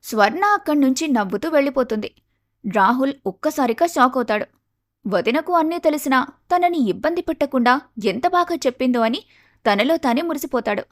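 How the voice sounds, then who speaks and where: A woman's voice: high voice, lively intonation, fairly quick, no room sound, clean studio sound. A woman reading scripted narration as the narrator of a moral story.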